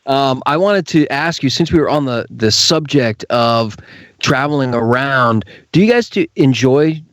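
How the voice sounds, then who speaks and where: A man's voice: mid-range voice, expressive, unhurried, dry recording. A man hosting a radio show in a conversational interview style.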